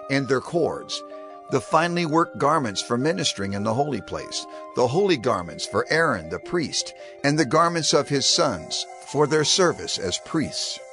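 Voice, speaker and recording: An actor playing a male prophet who solemnly instructs his people with deliberate, declamatory phrasing in a dramatized scripture reading. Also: fairly high pitch.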